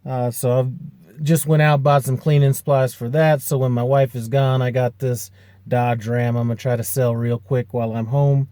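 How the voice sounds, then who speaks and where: A man's voice: mid-range voice, normal speed, no echo. Rambling man in his fifties. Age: 50s. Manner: rambling, relaxed, many fillers and restarts. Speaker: truck driver vlogger. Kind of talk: casual vlog chat.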